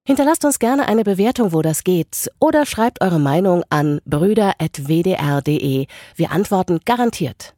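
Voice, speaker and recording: A woman's voice: on the low side, expressive, moderate pace, hardly any pauses, dark tone, no room sound, clean studio sound. A woman reading a scripted, friendly podcast announcement to listeners. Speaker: presenter of the podcast outro.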